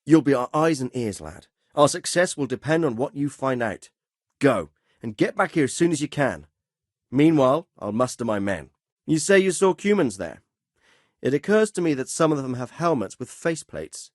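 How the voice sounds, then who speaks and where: Medieval RPG lord: middle-aged commander, stern yet fatherly, authoritative, widely varied pitch, close dry studio sound. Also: very animated, dry recording. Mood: brisk, commanding. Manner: authoritative, measured, formal phrasing. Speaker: feudal lord and military commander. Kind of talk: video game dialogue acting.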